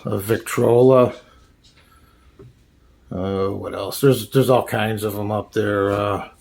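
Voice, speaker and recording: A man giving a casual, unscripted show-and-tell as a hobbyist, halting often and searching for names as he goes. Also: fairly low pitch, vocal fry. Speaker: hobbyist showing a radio collection.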